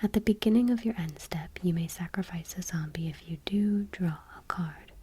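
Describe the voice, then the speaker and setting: A woman host speaking in a soft, breathy whisper, gently and without hurry, reading text aloud in ASMR style. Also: lowish voice, normal speed, background noise.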